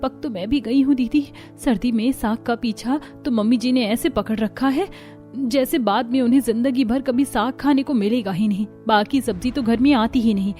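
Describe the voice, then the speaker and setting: A woman's voice: fairly high pitch, moderate pace, warm tone, soft background music, natural voice. A woman voicing a weary, whiny young daughter-in-law who grumbles in a cartoon story. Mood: fed up. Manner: whiny, complaining, exasperated. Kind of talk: Hindi cartoon story dialogue.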